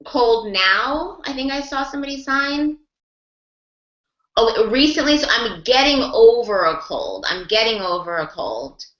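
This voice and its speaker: A woman's voice: a little high, fairly bright tone, reverberant, muffled recording. A woman mentoring a group of sign language interpreters, encouraging and talking through examples with lots of rephrasing and repetition. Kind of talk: online group class discussion.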